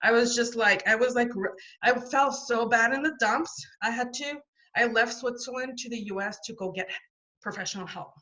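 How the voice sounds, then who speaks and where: A woman's voice: on the high side, normal speed, bright, forceful tone, lo-fi sound. A woman guest telling her story in a chatty, rambling way, full of restarts and fillers, heard over a video call. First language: not French. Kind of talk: casual interview storytelling.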